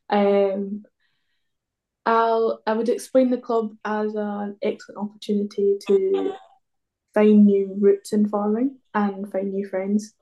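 A woman in her late teens with a mellow, dark voice. She speaks in a flat, even tone and feels her way hesitantly through casual answers. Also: medium pitch, low-quality recording. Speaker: interview guest, young farmers club member.

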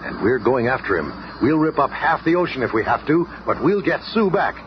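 An actor playing an earnest, commanding superhero leader in a radio drama, authoritative and brisk. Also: male voice, medium pitch, lively intonation, natural voice. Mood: urgent. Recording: muffled, noisy old radio recording.

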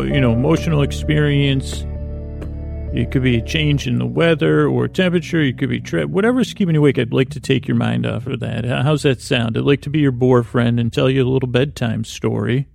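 Man, gravelly, rambling, gentle bedtime talk, faint music underneath. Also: mid-range voice. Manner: meandering, halting, many fillers and restarts; soft and gentle. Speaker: sleep podcast host. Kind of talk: rambling bedtime podcast intro.

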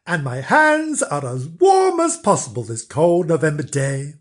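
A storybook reader voicing a shrewd trading man who obligingly offers a swap, in an unhurried tone. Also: mid-range voice, very animated.

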